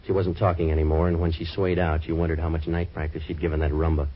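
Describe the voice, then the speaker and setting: An actor playing a wisecracking, hard-boiled male detective in a 1940s radio drama, narrating and trading quips in a deadpan way. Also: a bass voice, fast talker, husky, muffled recording.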